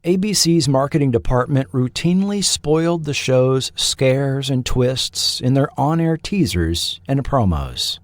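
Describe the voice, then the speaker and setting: A man narrating a scripted documentary in a measured, formal storytelling manner. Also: mid-range voice, expressive, no echo, studio quality. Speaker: podcast host and narrator.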